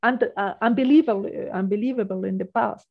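A woman's voice: mid-range voice, fast talker, gravelly. Woman in her 50s, business guest, chatty with restarts, slightly dull lo-fi audio. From Austria. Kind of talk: casual business interview.

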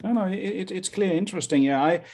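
A man's voice: high-pitched, darkish tone. A man with a Danish accent interviewing a guest in casual English, often stumbling and repeating words. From Denmark. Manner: halting, repeats words, laughs easily.

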